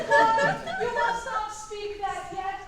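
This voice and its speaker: Bossy, exasperated amateur-theatre organizer female actor. Extremely high voice, stage comedy, heavily echoing room. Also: animated, very slow, a bit bright, heavily acted. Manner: exasperated, instructing. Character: fussy, bossy leader of amateur players. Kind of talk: staged reading of Shakespeare comedy. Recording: roomy echoing hall, distant mic, faint noise.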